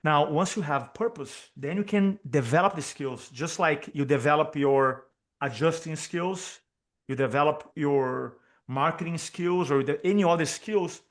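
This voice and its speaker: A man's voice: fairly high pitch, unhurried. A man from Brazil with a Brazilian Portuguese accent, whose first language is Portuguese, talking energetically as a speaking coach on a video call and repeating key points for emphasis. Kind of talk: interview guest on video call.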